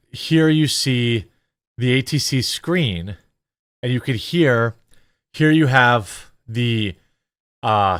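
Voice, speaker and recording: Male commentator, mid-range voice, dry close-mic sound, conversational and hesitant, thinking out loud as he walks through footage. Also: medium pitch, no echo. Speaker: commentator and show host. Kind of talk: casual news commentary over footage.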